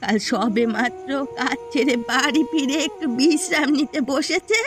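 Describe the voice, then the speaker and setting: A woman's voice: sits high, lively intonation, moderate pace. A woman voicing a poor elderly village mother-in-law in a cartoon, plaintive and complaining. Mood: aggrieved. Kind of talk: scripted cartoon dialogue. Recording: loud music behind the voice.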